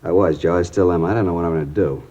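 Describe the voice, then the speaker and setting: An actor playing a distraught middle-aged father who talks in a halting, desperate, strained way, in a 1950s radio drama. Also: low-pitched, warm tone. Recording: dull lo-fi 1950s radio broadcast.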